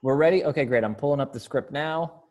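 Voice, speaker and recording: Guy running a play rehearsal on a video call, medium pitch, kinda halting and hesitant. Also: darkish tone. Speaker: readers theater rehearsal director. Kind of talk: casual rehearsal instructions over video call.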